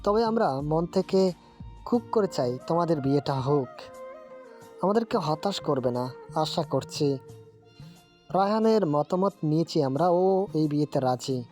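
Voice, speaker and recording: A woman playing a calm but firm elderly head of the family in an audio story, speaking with measured authority. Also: low-pitched, faint music behind. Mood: calm, persuading. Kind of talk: dramatised audio story dialogue.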